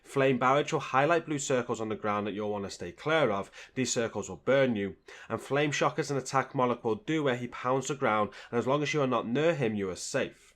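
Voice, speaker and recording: A man's voice: mid-range voice, fairly quick, fairly bright tone. A man narrates a video game guide in a mid-range voice. His delivery is scripted and explanatory, like step-by-step gameplay commentary.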